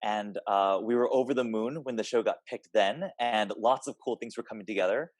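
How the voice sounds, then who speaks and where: A man's voice: lowish voice, a little fast, no room sound. A man, a theater director, giving an informal, somewhat hesitant spoken introduction to a show.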